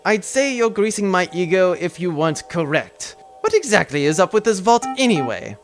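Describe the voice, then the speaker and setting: Man playing a brash, animated sci-fi baron. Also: high voice, moderate pace, faint music behind. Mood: sarcastic, suspicious. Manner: sarcastic, theatrical, blustering. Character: suspicious, brash, sarcastic male space-faring baron. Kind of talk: sci-fi audio drama acting.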